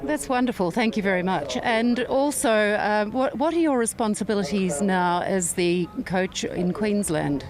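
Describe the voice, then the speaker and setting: Woman interviewer outside, polite clear questions, average pitch. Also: normal speed, hardly any pauses, a crowd in the background.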